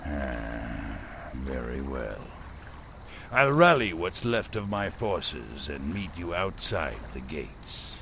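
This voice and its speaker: Gruff, dramatically swooping human warlord. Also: male voice, rumbling low, very animated, noisy background, heavily acted. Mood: grudging. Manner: blustering, deliberate. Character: proud, suspicious human warlord, male, gruff and bellicose. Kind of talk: video game cutscene acting. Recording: game audio under clear music.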